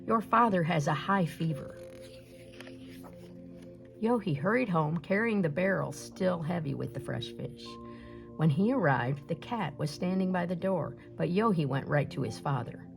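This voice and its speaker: A woman reading a folktale aloud as a storyteller, in an expressive scripted narration style. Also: lowish voice, gravelly.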